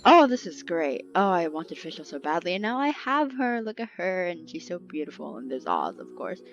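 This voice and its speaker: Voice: mid-range voice, very animated, a little fast. Casual gaming commentator of ambiguous gender, mid-range voice, informal and chatty, slightly muffled recording with faint music. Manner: informal, thinking aloud. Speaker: video game streamer. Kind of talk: casual let's play commentary.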